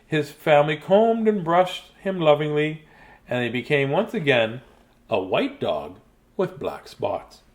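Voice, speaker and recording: A man in his fifties reads children's bedtime picture books in an animated, playful, childlike way, with chatty asides. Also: on the high side, unhurried. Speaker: children's storyteller. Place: small decorated room indoors.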